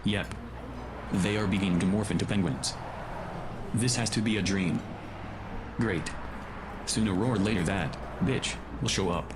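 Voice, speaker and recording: A man's voice: low voice, a little slow, long pauses. A man playing a curt, bad-tempered hero in a scripted audio drama. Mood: puzzled, grumbling. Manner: grumbling, curt. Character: gruff, bad-tempered male hero on the run with robot. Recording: clear outdoor vehicle-like noise behind.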